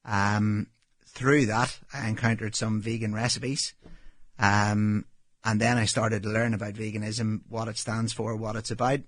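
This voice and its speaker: A Northern Irish man in his thirties, a restaurant owner answering interview questions in a relaxed, matter-of-fact way with frequent ums. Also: lowish voice, a little slow, no echo. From Northern Ireland.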